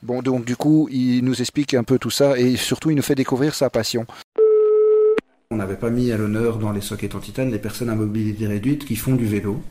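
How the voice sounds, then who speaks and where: A man's voice: medium pitch, steady tone, a little fast. A man hosting a podcast, asking short questions and prompting his guests. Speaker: podcast host. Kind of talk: interviewing.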